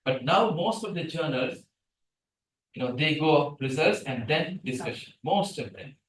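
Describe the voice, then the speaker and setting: A middle-aged man whose first language is Tamil gives a guest lecture on scientific writing. He is animated and keeps asking the audience questions. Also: fairly high pitch, a little slow. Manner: animated, asks the room questions. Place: seminar room, hybrid session. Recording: echoey room from a distance.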